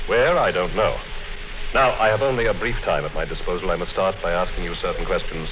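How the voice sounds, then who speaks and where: Man acting a commanding low-voiced party official, old radio. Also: close to an everyday voice. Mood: composed, probing. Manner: measured, deliberate, authoritative. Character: calm, commanding high party official posing as conspirator. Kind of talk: 1950s radio drama acting. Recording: noisy, dull 1950s radio recording.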